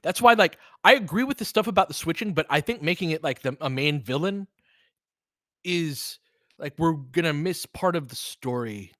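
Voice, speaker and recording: Male fan commentator for basketball livestream: bright, forward voice at an average pitch, dry close sound, informal and opinionated. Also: lively intonation, normal speed, dry recording. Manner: informal and opinionated, clipped phrases during play, talking back to listeners. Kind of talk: casual fan play-by-play commentary mixed with chat.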